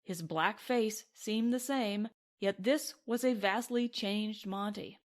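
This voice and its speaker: A woman's voice: medium pitch, takes it slowly. A woman reading scripted narration from a western novel as an audiobook. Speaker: audiobook reader.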